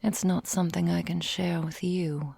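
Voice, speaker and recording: A woman playing an ancient, elegant vampire mistress in an ASMR roleplay, speaking formally and deliberately in a breathy, gravelly, whispery voice. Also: fairly low pitch, little pitch movement, dark tone, dry recording, heavily acted. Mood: regretful, hushed. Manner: slow, formal, theatrical, deliberate.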